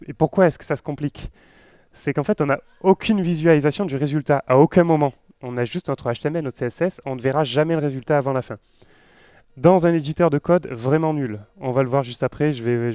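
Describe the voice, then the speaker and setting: A man's voice: on the high side, fairly quick, a little mellow, no echo, studio quality. A man with a southern French accent giving an informal tech talk to an audience, conversational and full of joking asides. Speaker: conference speaker, web developer.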